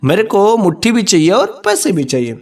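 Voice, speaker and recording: A man's voice: high-pitched, wildly swooping pitch, normal speed, gravelly, darkish tone, full character voice. A man voicing a crafty, vengeful young farmer's son in a folk story, stubbornly repeating his demands. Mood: insistent, threatening. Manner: insistent, repetitive demanding.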